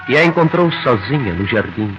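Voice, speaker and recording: A man's voice: medium pitch, animated, brisk, natural voice. A man acting as the solemn, declamatory narrator of an old radio melodrama. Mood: solemn, dramatic. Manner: dramatic, declamatory. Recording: dull vintage radio recording with loud music.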